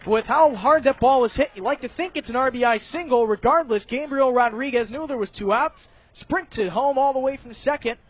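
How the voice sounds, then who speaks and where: An American man doing live radio play-by-play of a baseball game, describing each pitch and play in detail. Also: slightly rough. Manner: detailed, narrates each pitch, animated on big plays.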